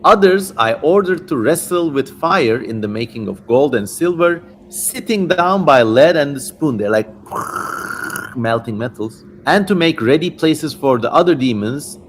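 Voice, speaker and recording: A man's voice: a little high, lively intonation, unhurried. A man hosting a livestream, mixing dramatic reading of an old text with casual, irreverent, slangy asides. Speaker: livestream host. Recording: livestream with clear background noise.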